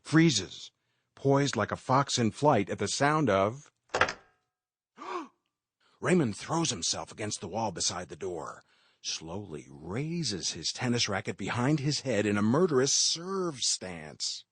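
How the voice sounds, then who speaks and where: An actor playing a wry, mock-suspense host narrator in a radio play, speaking in an arch, dramatic, emphatic manner. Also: male voice, fairly low pitch, big pitch swings, slow, a bit bright, slightly rough, no room sound, heavily acted. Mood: dramatic, droll.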